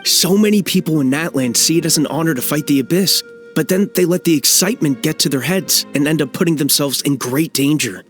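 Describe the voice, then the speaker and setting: A man's voice: fairly high pitch, a little fast, faint music behind, natural voice. A man voicing a spirited, grateful young soldier in a video game, speaking sincerely and fervently.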